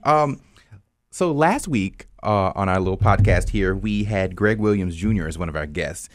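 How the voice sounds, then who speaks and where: Male podcast host, low register, varied intonation, casual interviewing style. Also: lively intonation, normal speed.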